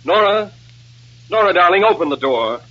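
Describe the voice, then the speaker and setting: An ardent leading man, bright-voiced. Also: high voice, normal speed. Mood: pleading. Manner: ardent, melodramatic. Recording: dull, noisy old broadcast recording.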